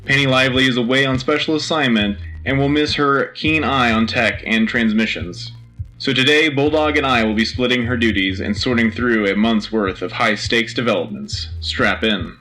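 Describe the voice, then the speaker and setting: A male actor as a frontline radio host in a science-fiction war drama. His voice is bright and forward at a middle pitch, delivering scripted war news over faint music. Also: normal speed, close to an everyday voice, rough recording. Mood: focused, serious.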